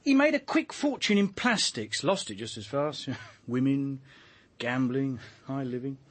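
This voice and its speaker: An actor playing a chatty, wry police detective in a naturalistic radio drama, colloquial and a little hesitant. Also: male voice, medium pitch, lively intonation, measured pace. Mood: wry, musing.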